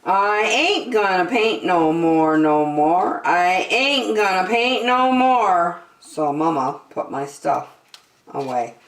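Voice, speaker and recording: A woman hosting a children's story time, reading a picture book in an animated, sing-song way. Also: lowish voice. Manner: slow, animated delivery addressed to young children. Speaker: children's storytime host and reader. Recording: roomy echo, distant microphone.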